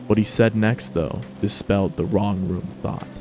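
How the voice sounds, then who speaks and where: A man's voice: fairly low pitch, fairly even intonation, moderate pace, music in the background. A man narrating a story as a scripted dramatic reading.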